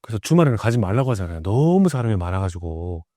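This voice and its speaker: A man's voice: lowish voice, big pitch swings, fast, warm tone, no room sound, studio quality, faint music behind. A middle-aged man speaking as an expert guest, explaining in a conversational, emphatic way with rhetorical questions. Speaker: guest expert, professor of American culture. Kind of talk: explanatory interview talk.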